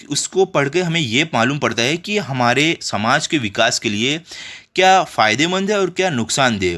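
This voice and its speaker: A male schoolteacher with a bright, forceful voice explains an exercise to children. His pitch sits a little high and swings in a lively way. He often puts short check-in questions to his pupils as he goes. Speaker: teacher.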